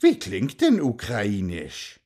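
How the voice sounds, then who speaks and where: Children's radio dog host: friendly and curious, a low voice with a slow, lively lilt. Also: male voice, heavily acted. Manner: kindly, asks simple questions.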